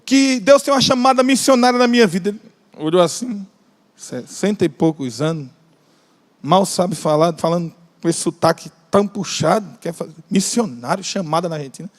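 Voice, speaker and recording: A man preaching a sermon with a Brazilian Portuguese accent, impassioned, stretching out key phrases and joking with the congregation. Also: high voice. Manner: impassioned, drawn-out emphatic phrases, jokes with the congregation. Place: large church hall. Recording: long church hall reverb.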